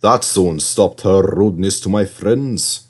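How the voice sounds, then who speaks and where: A man voicing a kindly, grateful old steam engine gently reminiscing in a storybook. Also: low-pitched, unhurried, slightly rough.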